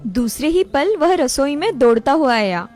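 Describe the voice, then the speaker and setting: A woman narrates a cartoon moral tale from a script. Her voice is high-pitched. Faint music plays behind the narration. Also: big pitch swings, moderate pace, a little mellow.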